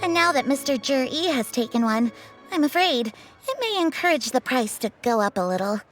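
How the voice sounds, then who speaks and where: A woman's voice: high voice, very animated, normal speed. A woman playing a polite young female shopkeeper in a video game, giving businesslike sales patter. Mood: polite, salesy. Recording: music behind the voice.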